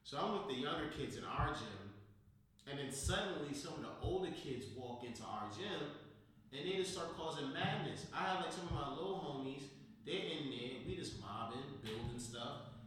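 An American man hosting a casual podcast, chatting and telling stories in slangy, informal talk, his voice slightly hoarse from recovering after losing it. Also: a little high, lively intonation, moderate pace, a bit bright. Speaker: podcast host. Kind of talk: casual podcast chat and storytelling. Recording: echoey room, distant mic, faint noise.

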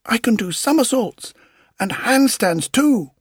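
A man acts out a joyful little puppet boy in an audiobook. The voice leaps high and loud, with a husky rasp. The delivery is proud, eager and full of exclamation. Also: expressive, a little slow, dry recording, clean studio sound, exaggerated voice. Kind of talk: audiobook character voice.

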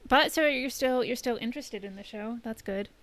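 Bright-voiced woman in casual podcast discussion. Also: on the high side, moderate pace. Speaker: podcast co-host.

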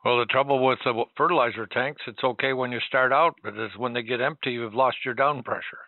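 Gravelly man in his eighties, plainspoken. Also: medium pitch, fairly even intonation, a little fast, no echo, narrow, tinny audio. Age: 80s. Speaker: interviewer. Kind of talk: conversational interview, reminiscing.

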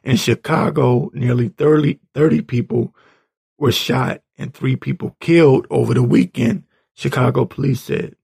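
A man with a raspy, hoarse voice giving an informal opinion rant as a commentator, halting, blunt and exasperated. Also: mid-range voice, fairly even intonation, a little mellow. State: hoarse, clearing his throat. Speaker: political commentator reading tweets and articles.

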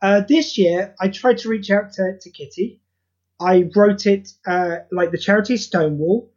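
A man talking as a guest on a podcast about the charity audio play he wrote, candid, self-deprecating and quick to swear. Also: sits high, a little mellow, highs cut off. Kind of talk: casual podcast interview.

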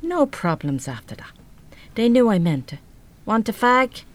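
A woman's voice: fairly high pitch, big pitch swings, measured pace. An actress playing a weary, no-nonsense older working-class mother in a live radio play, with an Irish accent and a blunt, wry, grumbling manner. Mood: wry, matter-of-fact.

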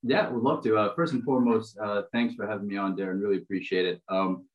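Low-voiced American-sounding man, lo-fi. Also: fast talker. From Brazil. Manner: relaxed, many filler ums and uhs. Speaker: podcast guest, finance executive. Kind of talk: casual interview chat.

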